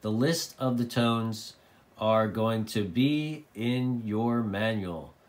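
A man's voice: on the low side, slow. A man informally explaining and demonstrating a product, repeating phrases for emphasis. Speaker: presenter of a radio tutorial. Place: indoors at a desk.

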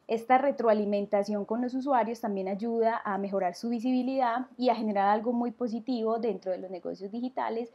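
A young woman with a warm, mellow tone, explaining marketing plans in a measured way. She fills pauses with soft "eh" sounds. She speaks through a webcam and earphone mic in a small room at home. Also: medium pitch. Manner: measured, explanatory, many "eh" fillers. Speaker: tourism marketing advisor. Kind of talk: advice on a video call.

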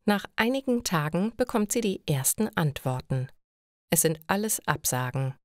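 A woman reads a scripted story for language learners, enunciating clearly in a measured way. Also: on the low side, big pitch swings, no echo, studio quality. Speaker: narrator of German listening lessons.

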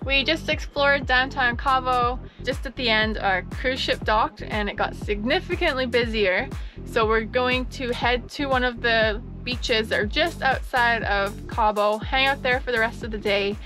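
A woman's voice: a little high, a little slow, bright, forceful tone, soft background music. Upbeat woman vlogging at arm's length. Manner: upbeat, chatty, explaining plans to camera. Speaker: travel vlogger. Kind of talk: casual travel vlog narration. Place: outdoors at a beach and harbor, also inside a camper van. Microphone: handheld camera at arm's length.

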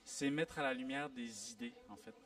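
A man's voice: medium pitch, sing-song, normal speed, gravelly, a bit bright, far from the mic. A man earnestly explaining his views on a handheld mic. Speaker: vox pop participant. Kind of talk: casual street interview.

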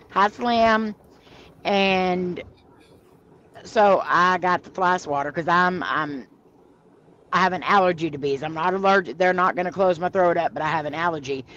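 A woman hosting a live stream over a video call, telling stories in a chatty, animated way and laughing as she goes. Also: on the low side. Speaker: host of a paranormal live stream. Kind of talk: casual storytelling chat. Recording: slightly muffled video-call audio.

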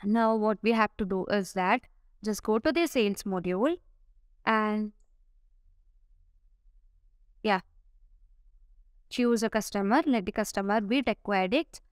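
A woman narrating a step-by-step software tutorial over a screen recording, pausing often with "uh" fillers. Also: mid-range voice, clean tone, dry recording, clean studio sound. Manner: explains step by step with frequent "uh" fillers.

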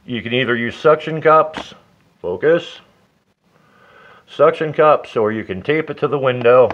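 A man's voice: medium pitch, a little slow, a bit bright, muffled recording, slight background noise. A man casually explaining an antenna build at home while he works, chatting to himself and the radio as he goes. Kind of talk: casual how-to narration. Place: home, indoors at a table.

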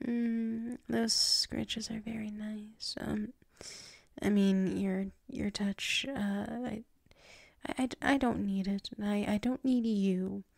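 A very slow, gravelly-edged female werewolf voiced by a woman in a close, dry ASMR roleplay. She is drowsy and tsundere, proud but submissive. Her words come out soft and halting, with flustered stammers and drifts into whispering. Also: medium pitch, steady tone, dry recording. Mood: uncertain, defensive.

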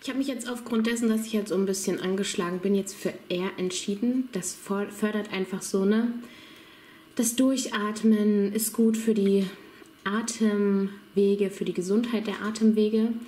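A woman's voice: mid-range voice, fairly even intonation, moderate pace, dark tone. A chatty woman in her thirties, a little under the weather, talks straight to her viewers. She walks through her home with a handheld phone camera, keeping an easy, enthusiastic tone. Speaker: vlogger. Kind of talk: casual vlog walkthrough. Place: home, indoors.

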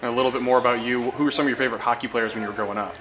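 Fast-talking male sports interviewer. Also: medium pitch, fairly even intonation, fairly bright tone, slight background noise. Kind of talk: post-game interview questions.

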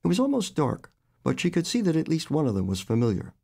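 A man in his seventies, an author reading his own short story aloud in a measured, expressive way. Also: a little high, creaky. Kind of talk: literary fiction reading.

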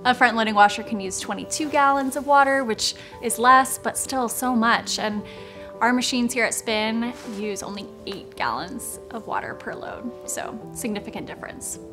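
A woman's voice: on the high side, moderate pace. Woman talking about her small business, conversational and earnest, doc interview style, music playing behind her. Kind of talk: documentary interview voice-over. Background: background music.

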